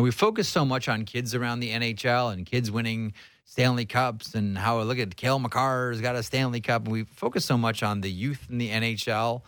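Lower-voiced man hosting casual hockey talk. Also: fairly bright tone, dry recording, clean studio sound. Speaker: sports talk show host.

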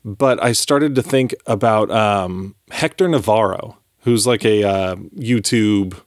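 A man's voice: lowish voice, slow, no echo, studio quality. A man co-hosting a podcast in casual chat, rambling with restarts and casual swearing. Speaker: podcast co-host.

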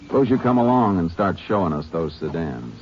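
Terse ranger, real low voice, hissy old radio. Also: male voice, big pitch swings. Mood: stern. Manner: terse, firm, measured. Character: calm, stern Texas Ranger narrator. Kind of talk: 1950s radio acting. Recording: dull old radio recording with hiss.

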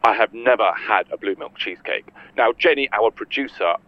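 A man's voice: on the low side, a little fast, soft background music, natural voice. A man playing a flustered TV cookery show presenter, fussing and repeating himself in mock live-broadcast style. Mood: presenterly. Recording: dull lo-fi sound, clear noise.

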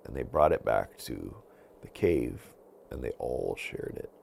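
A male storyteller narrates a children's bedtime tale. His voice is low and very creaky, and it rises and falls dramatically as he tells it. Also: big pitch swings, a little slow, darkish tone, slight background noise. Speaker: storyteller, podcast host.

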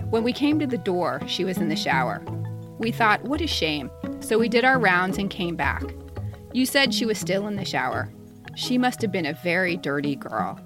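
A woman in her fifties reading a personal satirical letter aloud in a wry, mock-polite way, as a podcast co-host. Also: medium pitch. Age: 50s.

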